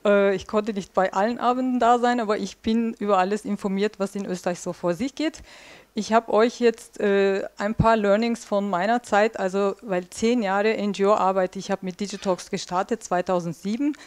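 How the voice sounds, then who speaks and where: Woman in her forties, relaxed conference speaker on a headset mic, lots of filler sounds, middle pitch. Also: moderate pace. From Turkey. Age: 40s. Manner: chatty, many filler sounds, drawing on a flip chart while talking. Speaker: speaker at a tech policy evening. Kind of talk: informal conference talk. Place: small dim event room indoors.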